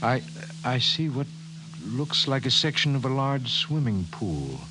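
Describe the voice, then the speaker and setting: An actor playing a grave, precise professor in 1950s radio drama style, formal and measured. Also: male voice, mid-range voice, sing-song. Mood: amazed. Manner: measured, formal, emphatic delivery. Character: grave, precise male scientist, a government professor. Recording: hissy 1950s radio recording.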